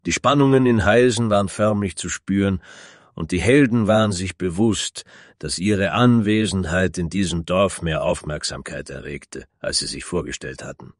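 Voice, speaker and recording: Low-voiced male fantasy narrator. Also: normal speed, no echo, clean studio sound. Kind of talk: scripted fantasy story narration.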